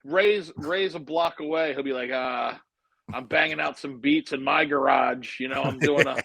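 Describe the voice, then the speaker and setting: A man's voice: mid-range voice, normal speed, vocal fry, slightly rough, poor audio. A man in his fifties chatting casually as a guest and telling rambling, joking stories. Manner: joking, rambling storyteller, speeds up when excited. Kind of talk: casual chat, storytelling.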